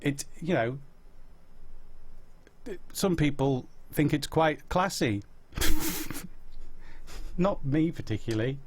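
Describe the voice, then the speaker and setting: A man's voice: fairly high pitch, very animated, extremely slow, long pauses, a little hiss or hum. A man in his forties with a Yorkshire accent, casually chatting live and answering viewer questions with self-mocking humour. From Yorkshire, England. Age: 40s. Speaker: host answering viewer chat.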